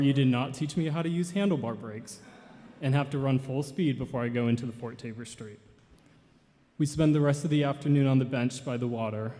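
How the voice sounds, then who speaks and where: Young man, 20s, grandson eulogizing, measured and earnest; mellow tone, reverberant church hall. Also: mid-range voice. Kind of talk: memorial tribute and poetry reading.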